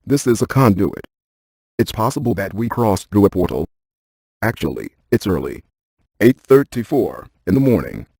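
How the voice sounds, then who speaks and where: A man playing a brainy, stiff ghost-hunting scientist in a video game, speaking in a precise, clinical deadpan. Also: fairly low pitch, gravelly, husky, no room sound, exaggerated voice.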